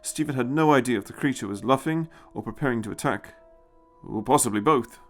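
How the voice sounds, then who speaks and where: A man's voice: mid-range voice, fairly quick, soft background music. A man reading a scripted story as a narrator, in a dramatic storytelling style. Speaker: audiobook narrator.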